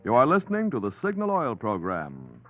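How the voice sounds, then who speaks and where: A man's voice: mid-range voice, wildly swooping pitch, fairly quick, soft background music. A man announcing an advertisement in the style of 1940s radio. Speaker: commercial announcer. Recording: noisy, phone-like old radio recording.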